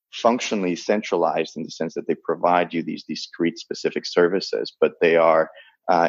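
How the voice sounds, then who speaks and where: A man from the Dominican Republic, Spanish his first language, talks in a deep, gravelly, husky voice. He pauses and restarts often as he works through ideas in an interview. The recording is dry and somewhat dull. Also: a little mellow, no echo. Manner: halting, many restarts and fillers. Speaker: guest. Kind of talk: thoughtful interview talk.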